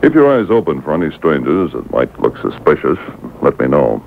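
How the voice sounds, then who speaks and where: A resolute Mountie sergeant in an old radio serial. His voice is very deep and moves in an animated way. The sound is thin and phone-like, as if taped off a radio. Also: a little fast, vocal fry, slight background noise, heavily acted. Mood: firm, businesslike. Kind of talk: old-time radio drama acting. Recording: tinny old radio broadcast taped on boombox.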